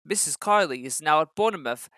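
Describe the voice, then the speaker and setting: A man's voice: fairly high pitch, animated, fairly quick, bright, forceful tone, no echo, studio quality. A man reading a children's story as a scripted narration.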